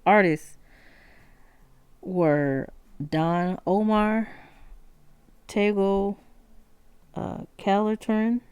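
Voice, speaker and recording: Low gravelly lady podcaster, slow and halting w/ lots of ums, dry close sound. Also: darkish tone, no echo. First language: not Spanish. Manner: halting, many ums and self-corrections. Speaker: podcast host. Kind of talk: solo podcast talk on music history.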